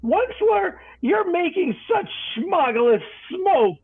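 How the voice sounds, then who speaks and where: A man's voice: extremely high pitch, big pitch swings, slow, pitched above the performer's own voice, full character voice. A man voicing an indignant, scolding little creature that defends nature in a storybook, snappish and pleading by turns. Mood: croaking protest. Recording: tinny, phone-like narrow band.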